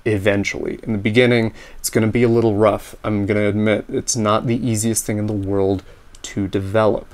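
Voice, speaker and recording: Slightly raspy man teaching ear training. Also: fairly low pitch, brisk. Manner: sings scales and intervals in solfege between explanations; relaxed, practical explaining. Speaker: music teacher. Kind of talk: instructional talk with sung solfege drills.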